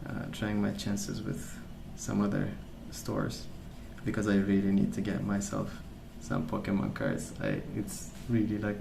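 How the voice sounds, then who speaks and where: A man rambling casually in a soft-spoken ASMR style, hesitant and meandering as he hosts. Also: lowish voice, unhurried, gravelly, few pauses, reverberant, noisy background. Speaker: ASMR video host.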